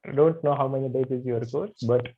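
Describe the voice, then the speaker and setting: A man introducing himself as a yoga anatomy teacher in an online class, halting with many fillers. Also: medium pitch, steady tone. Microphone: video call. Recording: tinny video call audio.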